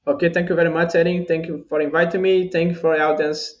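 Brazilian guy on a lo-fi call, slightly high voice, stumbles and repeats words in english. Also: little pitch movement. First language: Portuguese. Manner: halting, repeats words while searching for English phrasing. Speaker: guest. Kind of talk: casual interview answers.

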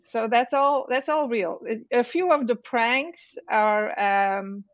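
A middle-aged woman from the Netherlands speaks slowly in English, her second language, with a clear Dutch accent. She pauses often and restarts her sentences. The sound is dry and slightly dull. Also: on the high side, no echo. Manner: halting, many fillers and restarts. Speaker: author guest. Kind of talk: casual interview chat.

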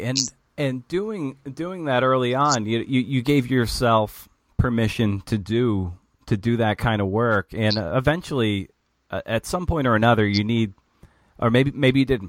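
A man's voice: medium pitch, normal speed, no echo. A man hosting a podcast interview, asking long, searching questions full of restarts and fillers. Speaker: podcast host.

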